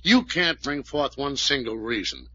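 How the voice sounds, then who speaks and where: A man's voice: mid-range voice, big pitch swings, measured pace, bright, forceful tone, full character voice. An actor playing a blunt, skeptical police captain in a 1940s radio drama, challenging what he hears. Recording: muffled old radio broadcast recording.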